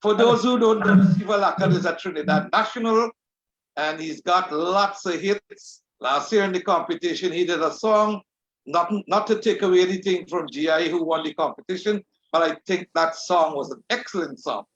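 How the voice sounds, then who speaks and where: Formal host in an echoey room. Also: male voice, sits high, moderate pace, fairly bright tone. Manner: formal, courteous. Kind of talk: online show hosting and sponsor reads. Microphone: video call. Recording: echoey, dull online audio.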